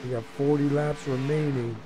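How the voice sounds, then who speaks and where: A man doing play-by-play for a racing league, his voice worn hoarse by a cold. He talks in a relaxed, conversational way with lots of fillers, and clear car and engine noise runs underneath him. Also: mid-range voice, normal speed. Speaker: race commentator. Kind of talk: sim racing play-by-play commentary.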